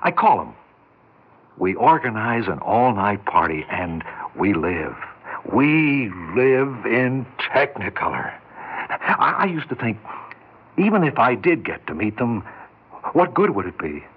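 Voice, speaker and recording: A lonely, self-doubting American bachelor in a 1960s radio play. His husky voice swings widely as he stammers and doubles back on his words. The recording is dull and old. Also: fairly low pitch, very animated, full character voice. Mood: self-pitying. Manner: stammering, halting, repeats words. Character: timid, self-loathing lonely bachelor, eager and dreamy. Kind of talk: 1960s radio drama acting.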